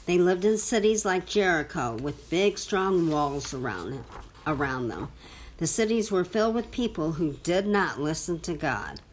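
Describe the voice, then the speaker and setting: Slow-paced woman reading a children's storybook. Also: on the low side, very animated, a little hiss or hum. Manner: simple, deliberate phrasing with questions to the listening child. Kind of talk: read-aloud children's Bible storybook with asides to a child.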